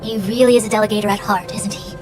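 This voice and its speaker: An actress voicing a haughty, brisk young female master diviner in a video game, formal, articulate and authoritative. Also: medium pitch, steady tone, lightly acted. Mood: grave, brisk. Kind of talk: scripted video game voice acting. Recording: game music underneath.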